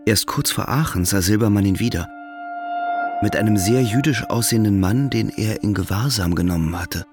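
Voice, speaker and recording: Casting: male narrator, low gravelly voice, scripted literary delivery, faint music beneath, radio play. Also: normal speed.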